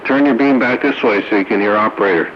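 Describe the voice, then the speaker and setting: A man's voice: fairly low pitch, fast. A man chatting casually over two-way radio, passing the turn around a roundup. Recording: dull, noisy two-way radio audio. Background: clear noise.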